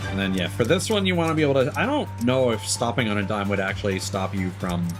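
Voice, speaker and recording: A man with a lowish voice gives relaxed, off-the-cuff commentary on a video game. He thinks aloud haltingly, and game music plays clearly behind him. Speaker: game streamer. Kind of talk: casual gameplay commentary.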